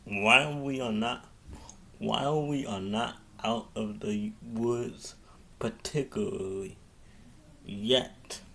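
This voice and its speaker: A man giving an improvised public address as a mayor, halting and often repeating and restarting his phrases. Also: fairly low pitch, gravelly, a bit bright, slight background noise.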